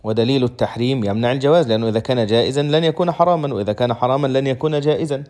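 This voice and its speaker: Brisk man teaching law. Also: medium pitch, darkish tone. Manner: methodical, restates each point in simpler words. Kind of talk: lecture explaining a legal text.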